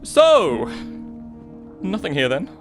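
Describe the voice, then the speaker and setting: Male detective, played by an actor, slightly high register, level-headed, hesitant, questioning, for a crime audio drama. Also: huge pitch swings, slow, over music, heavily acted. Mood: tentative doubt. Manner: tentative, questioning.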